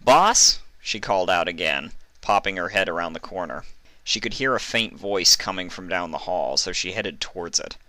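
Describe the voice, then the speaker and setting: A man's voice: sits low, normal speed, sharp tone, no echo. A man reading a story aloud as narrator of fan fiction, in scripted narration.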